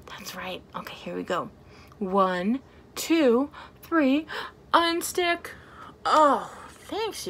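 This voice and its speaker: A woman in her thirties leading a storytime for young children as a librarian, talking in an animated way with simple, exaggerated phrasing. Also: mid-range voice, slight background noise. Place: small plain indoor room.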